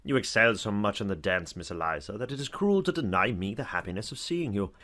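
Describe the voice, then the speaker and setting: Man playing gallant older gentleman, low voice, genial, flowery and talkative, audiobook drama. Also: lively intonation, fairly quick. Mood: gallant, coaxing. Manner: courtly, effusive, flowery.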